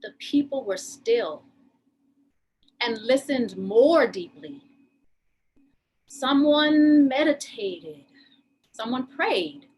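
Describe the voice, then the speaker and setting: A woman's voice: a little high, animated, drawn-out words, pauses often, darkish tone, husky. A woman reading dramatic scripted narration for a stage piece. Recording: noisy room ambience.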